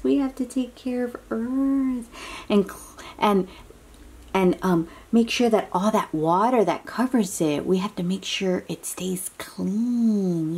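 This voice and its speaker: Very animated woman reading to young children. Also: medium pitch, slightly rough. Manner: expressive, exclaiming, with drawn-out words and hushed asides; talks directly to young listeners with simple questions. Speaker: children's read-aloud host.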